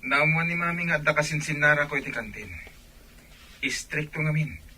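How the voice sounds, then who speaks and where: A man's voice: on the high side, normal speed, sharp tone, a little hiss or hum. A man with a Filipino accent playing an earnest, worried young student in love in a radio drama. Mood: apologetic.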